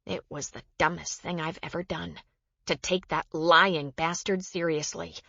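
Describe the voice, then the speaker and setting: A woman's voice: low voice, fairly even intonation, measured pace, gravelly, pushed and bright, dry recording, lo-fi sound, exaggerated voice. A woman narrator voicing an embarrassed, bitter mother in an audiobook, confessional and self-reproachful. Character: embarrassed, bitter mother confiding about a con man.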